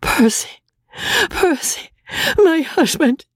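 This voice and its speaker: A man's voice: extremely high pitch, lively intonation, slow pace, no room sound, voice pushed up, exaggerated voice. A man voicing an anguished, devoted wife in a storybook, breathless, crying out with overwhelming emotion. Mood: overjoyed, gasping. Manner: shrieking, gasping, breathlessly emotional. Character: anguished, devoted wife, hysterical with hope and joy.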